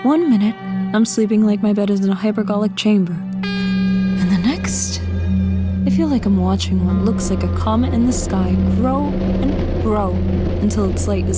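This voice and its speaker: A woman giving reflective, wistful scripted first-person narration in an audio drama, with music underneath. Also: medium pitch.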